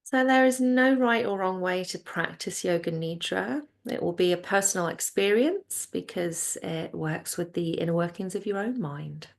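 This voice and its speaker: A woman leading a guided relaxation as a yoga nidra teacher, speaking in a soothing, unhurried and gentle way. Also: lowish voice, gravelly.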